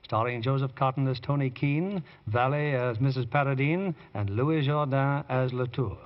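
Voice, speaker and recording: A man's voice: mid-range voice, normal speed. Vintage male radio drama announcer. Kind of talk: 1940s radio scripted narration. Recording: dull old radio broadcast, music behind.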